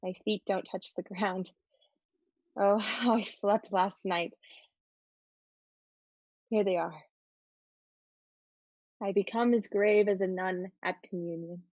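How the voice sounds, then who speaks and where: A woman playing a dreamy, yearning adolescent girl in a stage-play reading, musing in a halting, wistful way. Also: mid-range voice, fairly even intonation, a little mellow, no echo, lo-fi sound.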